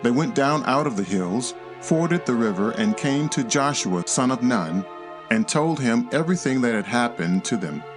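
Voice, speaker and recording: A man's voice: medium pitch, animated, normal speed, over music. A man narrating scripture as a scripted, dramatized audiobook.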